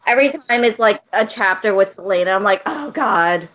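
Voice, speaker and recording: A woman with an average-pitched voice chats casually as a book club member. She sounds like an everyday participant on a group video call. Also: animated, a little fast, a bit bright. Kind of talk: casual chat.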